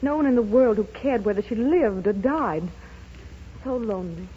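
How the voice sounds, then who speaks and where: An actress playing a wistful, grieving, soft-hearted woman in a 1930s radio drama, speaking haltingly and sorrowfully. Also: on the high side, lively intonation, slightly rough. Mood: wistful, pitying. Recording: dull, noisy 1930s radio recording.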